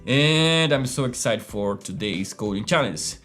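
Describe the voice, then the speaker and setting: A man's voice: mid-range voice, animated, measured pace, fairly bright tone. Chatty man, faint music behind. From Brazil. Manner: chatty, lots of fillers, keeps asking "right?" and "okay?". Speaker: host of a live coding stream. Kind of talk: casual live-stream coding lesson. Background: faint background music.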